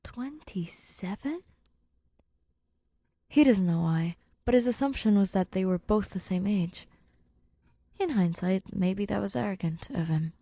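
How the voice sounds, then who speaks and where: A woman's voice: mid-range voice, normal speed, mellow, dry recording. A woman narrating a written story expressively, as an audiobook-style reader.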